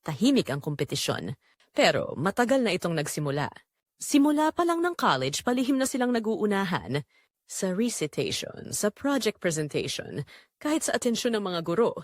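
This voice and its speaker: A woman with a Filipino accent narrating a scripted romance story in an expressive, measured way with dramatic pauses. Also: medium pitch, no echo, clean studio sound.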